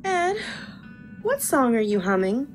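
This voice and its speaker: A woman's voice: high voice, big pitch swings, a little slow, faint music behind. A woman playing a sheltered, haughty yet shy young woman in an audio drama, prim and clipped in manner. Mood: curious, teasing.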